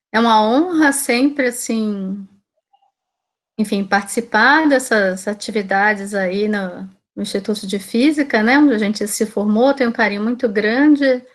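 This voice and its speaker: Brazilian woman teacher giving an informal talk. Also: on the high side. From Salvador, Bahia, Brazil. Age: 40s. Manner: conversational, often checks in with tag questions. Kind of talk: informal online talk about own career.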